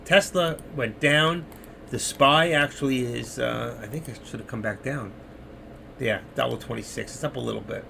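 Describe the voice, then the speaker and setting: A man's voice: mid-range voice, moderate pace, creaky, sharp tone, slight background noise. A middle-aged man moderating a trading live stream, rambling casually to viewers while losing his voice. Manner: rambling, chatty, talking to chat viewers.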